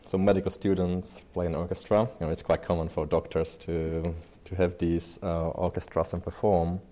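Man, 30s, deep warm voice, relaxed rambling interview guest. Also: moderate pace. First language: Czech. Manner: loose and chatty, many fillers and restarts. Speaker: interview guest, pianist and researcher. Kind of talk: casual interview talk.

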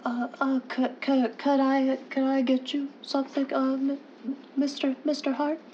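A man's voice: extremely high pitch, monotone, unhurried, darkish tone. An actor voicing a timid, nervous errand boy with a thin boyish voice and a heavy stammer, in radio comedy. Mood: starstruck, flustered. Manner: heavy stammering, hesitant, deferential. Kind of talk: old-time radio comedy acting. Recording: dull lo-fi sound, faint noise.

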